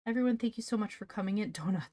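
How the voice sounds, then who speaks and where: A woman hosting a livestream, chatting casually and calmly with her viewers as if greeting a class. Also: medium pitch, racing, no room sound. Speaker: livestream host reading stories aloud.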